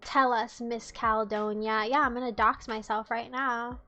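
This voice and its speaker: A woman's voice: fairly high pitch, fairly even intonation, normal speed, pushed and bright, soft background music. A young woman from the United States streaming live, chatting casually and teasing her chat in a playful way.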